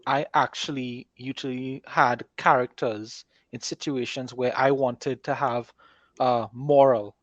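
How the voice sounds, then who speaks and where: A man speaking as an author and podcast co-host in a conversational, measured, explanatory way. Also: mid-range voice, no room sound. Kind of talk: conversational interview discussion. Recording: dry, slightly dull microphone sound.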